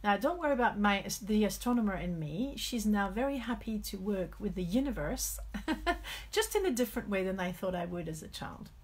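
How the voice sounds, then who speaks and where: Husky French-accented woman, candid and informal. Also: medium pitch, animated, normal speed. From France. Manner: candid, reflective, self-correcting. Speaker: motivational coach hosting a live stream. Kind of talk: informal motivational talk with personal stories.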